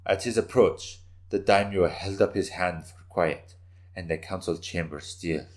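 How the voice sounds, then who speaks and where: Deep-voiced man narrating dramatically. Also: normal speed. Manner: intense, theatrical. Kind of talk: dramatic story reading, scripted narration.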